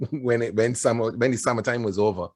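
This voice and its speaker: A man's voice: medium pitch, lively intonation, normal speed, darkish tone. A middle-aged man with a Barbadian accent, telling personal stories on a panel in a candid, wry, conversational way. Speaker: panelist, advertising creative.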